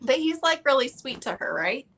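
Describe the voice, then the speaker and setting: A woman's voice: high voice, big pitch swings, moderate pace, a bit bright. A woman guest chatting casually about books, very informal and sprinkling "like" through her sentences. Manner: informal, peppered with "like". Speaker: guest on a book readalong livestream. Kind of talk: casual chat.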